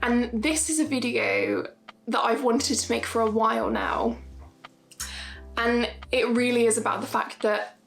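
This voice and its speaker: High-voiced young woman vlogging earnestly. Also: fairly even intonation, unhurried, creaky, soft background music. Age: 20s. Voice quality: high-pitched voice. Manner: earnest, emphatic, repeats points for stress. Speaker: YouTuber. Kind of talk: casual opinion vlog to camera.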